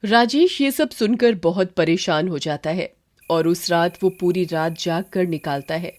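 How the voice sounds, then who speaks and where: A woman's voice: lowish voice, measured pace, a little mellow. A woman narrating a scripted horror tale in storybook style. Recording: music behind.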